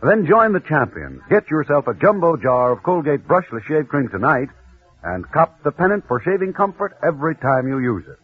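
A man's voice: a little high, very animated, moderate pace, breathy edge. An American man reading a scripted advertisement as a punchy old-time radio announcer. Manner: punchy salesman delivery. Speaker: commercial announcer. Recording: muffled, scratchy vintage radio broadcast.

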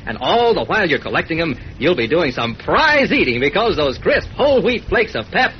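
A man's voice: a little high, very animated, brisk. A man with an American accent acting as co-announcer in a scripted 1940s radio commercial. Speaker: advertising co-announcer. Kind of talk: 1940s radio advertising pitch. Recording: muffled, noisy old radio recording.

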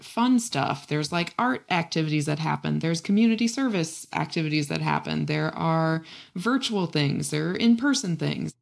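A woman's voice: deep voice, gravelly. A woman hosting a casual interview, easygoing and chatty, often saying "like" and "right?". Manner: relaxed, frequent "like" and "right?". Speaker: interview host.

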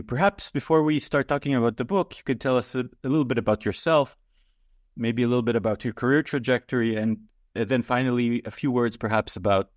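A man's voice: mid-range voice, no room sound, clean studio sound. A man hosting a podcast, asking questions politely and a little hesitantly. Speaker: podcast host. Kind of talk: interview hosting.

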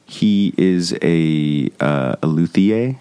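An American man speaking as an interview guest, casual and understated, with a halting delivery. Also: low voice, warm tone, clean tone, dry recording. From Cleveland, Ohio, United States. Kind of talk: casual conversation.

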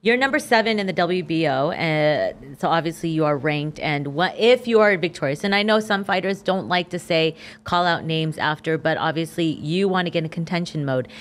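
A woman's voice: low-pitched, moderate pace, few pauses. A woman hosting a boxing podcast, asking long conversational questions. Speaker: podcast host. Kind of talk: interview questions.

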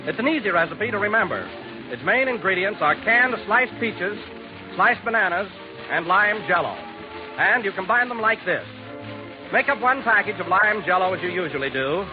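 An American man announces on 1940s radio in a high-pitched voice. He reads polished scripted copy and sponsor messages, captured on a muffled vintage recording. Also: lively intonation, moderate pace, music in the background.

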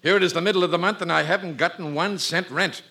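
An actor playing an impatient, demanding middle-aged American landlord in an old radio comedy. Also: male voice, high voice, fast talker, vocal fry, bright, forceful tone, heavily acted. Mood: exasperated. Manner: brusque, demanding. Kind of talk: 1940s radio comedy acting.

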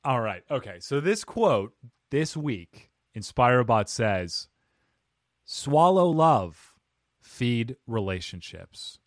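Animated man hosting a podcast. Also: medium pitch, slow pace, no room sound, clean studio sound. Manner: playful, animated, self-deprecating. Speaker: podcast host. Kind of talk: casual interview chat.

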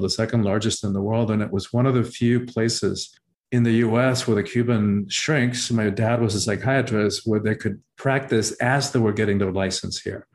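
A middle-aged man from Cuba speaking as an interview guest, in a voice of ordinary middle pitch. He tells his life story reflectively, pausing on fillers and reworking his sentences as he goes. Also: darkish tone. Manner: thoughtful, with frequent "um" and restarts. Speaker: guest, spiritual teacher.

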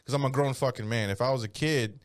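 A man in his thirties in profane casual banter, mocking others by putting on silly high voices. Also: fast, no echo. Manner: swears freely, acts out others in a high mocking voice.

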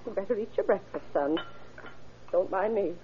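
A woman's voice: mid-range voice, normal speed, breathy edge, lightly acted. A woman acting a brooding mother, tinny old radio. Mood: eerily calm. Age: middle-aged or older. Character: brooding, status-obsessed mother of grown sons, quietly unhinged.

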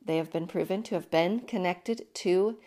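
Hoarse chatty woman podcaster. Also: on the low side, normal speed, dark tone. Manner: chatty, emphatic, repeats herself. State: hoarse, deepened voice from illness. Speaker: podcast host. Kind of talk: casual solo podcast monologue.

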